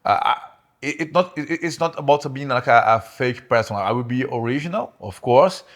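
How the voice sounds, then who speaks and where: Man, halting and repetitive. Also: medium pitch, animated. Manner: halting, repeats words, laughs often. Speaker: podcast guest. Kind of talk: casual interview.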